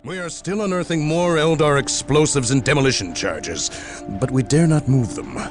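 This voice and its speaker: An actor playing a dutiful, wary space marine officer giving formal military reports in a game cutscene. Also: male voice, on the high side, animated, moderate pace, close to an everyday voice. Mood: businesslike. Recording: loud music behind.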